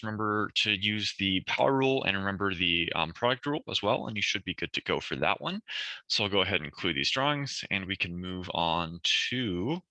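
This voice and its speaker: A young man tutoring a math review session, talking through problems step by step and correcting himself, his voice a bit worn as he clears his throat. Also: lowish voice, bright, forceful tone, no echo, rough recording. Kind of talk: recorded review session lecture.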